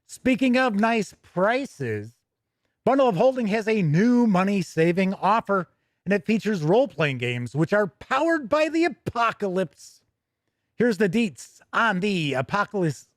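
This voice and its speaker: A man's voice: high-pitched, very animated, measured pace, dry recording, clean studio sound. A man hosting a tabletop gaming news show, mixing emphatic reading of copy with chatty, self-teasing asides. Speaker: host.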